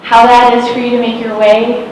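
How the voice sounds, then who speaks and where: A woman's voice: fairly high pitch, little pitch movement, moderate pace, darkish tone. Woman panel moderator, thoughtful and hesitant, distant and echoey with faint noise behind. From Massachusetts, USA. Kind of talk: panel discussion. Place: school hall. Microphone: distant room mic. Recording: echoey hall from far off.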